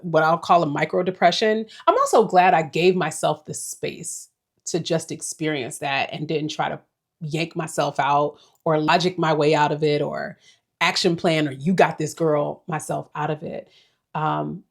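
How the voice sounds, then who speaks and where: A woman in her forties giving a casual, rambling life update to her viewers, reflective and talking to them directly. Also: deep voice. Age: 40s. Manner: reflective, rambling, with small laughs. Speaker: vlogger.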